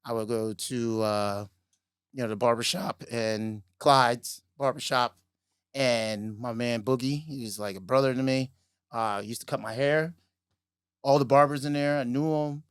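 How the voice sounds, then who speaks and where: A middle-aged man with a raspy voice, co-hosting a podcast in a casual, laid-back way, with lots of fillers and laughs. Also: medium pitch, steady tone, measured pace, dry recording, clean studio sound. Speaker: podcast co-host. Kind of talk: casual chat.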